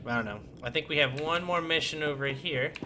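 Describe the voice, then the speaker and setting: A man's voice: fairly high pitch, fairly quick, background noise. A man giving casual gameplay commentary as a streamer. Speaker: gaming streamer.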